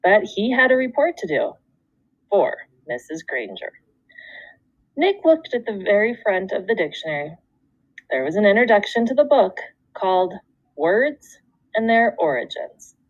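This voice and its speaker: A woman teacher reading a children's book aloud, with expressive storytelling and deliberate phrasing. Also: mid-range voice, no room sound, lo-fi sound. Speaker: second grade teacher.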